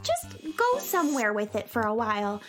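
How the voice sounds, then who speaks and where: A woman's voice: on the high side, huge pitch swings, moderate pace, faint music behind. A woman voicing a cheerful, chatty young heroine in a children's radio play. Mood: coaxing. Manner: bright, chatty, stalling.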